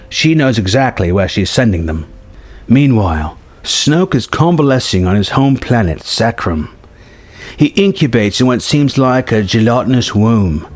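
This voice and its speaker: A man's voice: medium pitch, darkish tone. A man reading a scripted story treatment aloud as narrator. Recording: music behind the voice. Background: background music.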